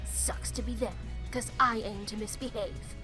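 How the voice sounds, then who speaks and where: A woman's voice: a little high, steady tone, a little slow, music in the background, close to an everyday voice. A woman voicing a brash, cocky young female speedster in a comic dub, slangy, sneering and full of swagger. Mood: mischievous, sneering. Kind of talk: comic dub cartoon acting.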